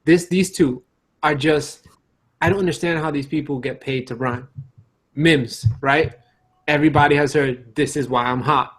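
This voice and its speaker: A man's voice: fairly high pitch, steady tone, normal speed. A man co-hosting a casual podcast, slangy and mock-incredulous, often repeating his phrases. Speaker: podcast co-host. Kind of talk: casual joking podcast chat.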